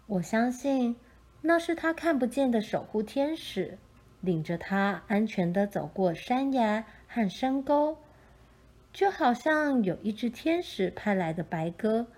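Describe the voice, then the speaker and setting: A woman narrating a children's story from a script in a gentle, expressive storytelling manner. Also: on the high side, a little slow.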